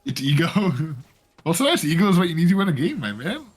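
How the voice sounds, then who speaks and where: A man casting a live esports match, joking around and getting excited about the plays. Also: a little high, fast talker, slightly rough. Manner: joking, excitable, laughs often. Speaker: esports caster. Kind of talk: live game commentary.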